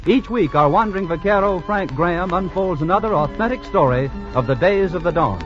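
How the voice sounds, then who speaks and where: A man's voice: high voice, brisk, over music. A man making formal scripted announcements in the style of a 1940s radio program. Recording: dull, hissy vintage radio recording.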